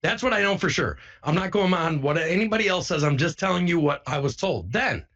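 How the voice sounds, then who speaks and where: A man with a somewhat high, slightly husky voice speaks informally to his viewers. He is emphatic and insistent, circling back to repeat phrases. The audio is a little dull and lo-fi. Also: expressive, fairly quick, a bit bright. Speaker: online broadcaster. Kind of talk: informal first-person account to viewers.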